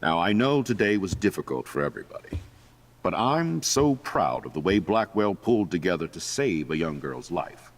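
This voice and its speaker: A man's voice: deep voice, sing-song, moderate pace. A man playing a stern, formal school principal with a pompous manner in a video game drama. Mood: solemn praise.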